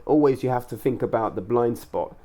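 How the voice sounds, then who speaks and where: A man hosting a casual interview, speaking in a measured, earnest and affirming manner. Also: mid-range voice, mellow, a little hiss or hum. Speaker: radio show host and class organiser.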